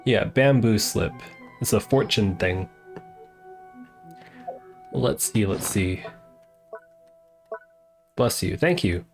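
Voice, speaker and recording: A man's voice: fairly low pitch, slow, vocal fry, long pauses, soft background music. A man live streaming a game, chatting casually and muttering to himself in an easygoing way.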